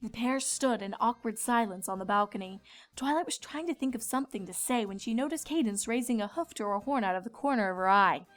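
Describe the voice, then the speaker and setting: High-voiced woman narrating a story. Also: brisk, fairly bright tone, faint music behind. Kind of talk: scripted narration.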